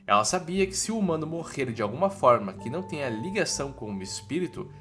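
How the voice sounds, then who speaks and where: Animated Brazilian man narrating a story recap. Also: a little high, fast, soft background music. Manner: colloquial storytelling with casual asides.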